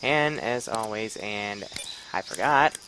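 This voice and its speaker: A man giving casual, offhand gameplay commentary in a halting way. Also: fairly low pitch, expressive, noisy background. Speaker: gamer. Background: video game audio.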